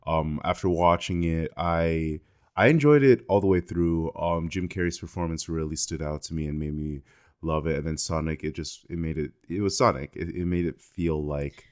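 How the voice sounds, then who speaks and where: A man's voice: extremely low pitch, normal speed, a little mellow, clear, resonant tone. A man on a podcast panel, sharing his opinions in casual conversation. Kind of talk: casual film discussion chat.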